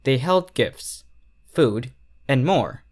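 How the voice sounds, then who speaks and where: A man's voice: medium pitch, very animated, drawn-out words. A man reading a story aloud as scripted narration. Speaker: fan fiction reader.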